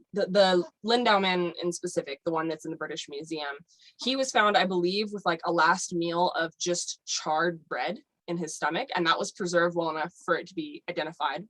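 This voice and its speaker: A woman explains a subject on a podcast, slightly low in pitch. She rambles with plenty of fillers and restarts. Her voice sits dry and close with no room around it. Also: a bit bright, no echo, rough recording. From United States. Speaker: guest. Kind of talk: casual podcast chat.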